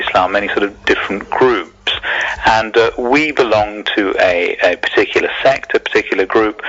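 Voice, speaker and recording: A man giving earnest, measured radio interview answers as a community spokesman, in a hesitant, halting way with repeated words. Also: on the low side, fairly bright tone. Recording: muffled lo-fi radio recording.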